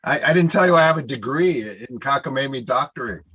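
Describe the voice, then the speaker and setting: A man's voice: mid-range voice, animated, brisk, pushed and bright, breathy edge, dry recording, low-quality recording. A man hosting a live call-in show over a video call, chatty and joking. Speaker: host.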